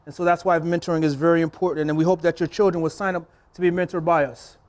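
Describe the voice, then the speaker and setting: Earnest high-voiced male mentor. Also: level tone, fast talker, darkish tone. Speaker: church mentor. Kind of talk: encouraging public speech.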